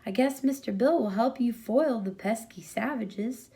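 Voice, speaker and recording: A woman's voice: on the high side, a little slow, dark tone. A woman voicing an easygoing, scheming male kidnapper in a storybook reading, with glib, folksy speech. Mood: breezy.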